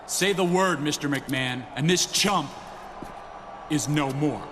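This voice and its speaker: A man's voice: fairly high pitch, a little slow, lightly acted. A man playing a smug, irritable, scheming wrestling boss in a video game, with a sarcastic, smarmy manner. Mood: menacing. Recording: noisy ambience behind the voice.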